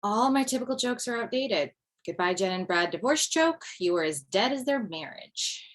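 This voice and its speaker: A woman's voice: medium pitch, lively intonation, normal speed, natural voice. A woman playing a self-deprecating mother returning to stand-up, delivering wry and hesitant lines in a table read. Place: video call from home.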